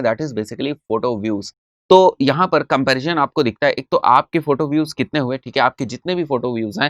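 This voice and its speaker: A man's voice: mid-range voice, fairly bright tone, dry recording. A man with an Indian accent explaining as a marketing instructor in a conversational, didactic way, mixing in English phrases and often checking in with short tags. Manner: mixes English terms and phrases into speech.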